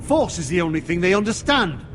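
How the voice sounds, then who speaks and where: 억양 변화가 큰 다혈질 기사. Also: male voice, sits high, sing-song, fairly quick, fairly bright tone. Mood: scornful, defiant. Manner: blunt, contemptuous. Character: hot-headed, scornful warrior knight, blunt and hawkish. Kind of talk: dramatic video game acting. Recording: long hall reverb, faint music.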